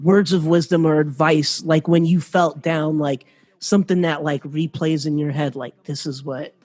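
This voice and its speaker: A woman's voice: sits low, steady tone, normal speed, darkish tone. An American woman hosting a podcast in a casual, informal interview style. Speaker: podcast host.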